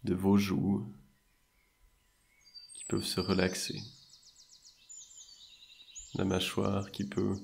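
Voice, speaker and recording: A man guiding a meditation in a soothing, measured way, leaving long pauses between short phrases. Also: low voice, vocal fry, slightly rough. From French-speaking Switzerland. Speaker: meditation and hypnosis guide. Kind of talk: guided meditation narration.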